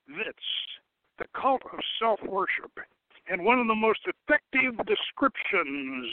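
An older man hosting a radio show, reviewing a book in a monologue that mixes scripted passages with halting asides. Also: high voice, dry recording, narrow, tinny audio.

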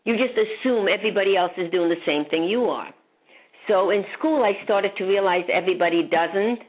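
A woman's voice: mid-range voice, fairly quick, husky. A woman from Hungary, a psychic guest, talking in a rambling, chatty way and laughing often. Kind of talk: casual interview chat. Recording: phone-line audio.

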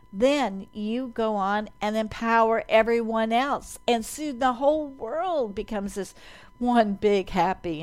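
A woman hosts a talk radio show, enthusiastic and upbeat. She talks slowly and deliberately. Her voice sits slightly high.

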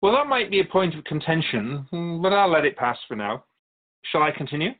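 A man playing a patient, wise, otherworldly Keeper of Souls who teaches in a measured, philosophical way in a radio drama. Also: a little high, very animated, phone-quality sound. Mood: gently amused. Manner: measured, philosophical, gently instructive. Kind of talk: scripted radio drama dialogue.

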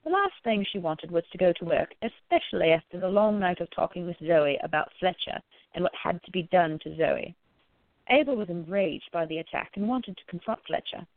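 Female narrator for an audio play, scripted storytelling, mid pitch, close and dry through a phone-like band. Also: expressive, a little fast, no echo. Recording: narrow phone-like sound.

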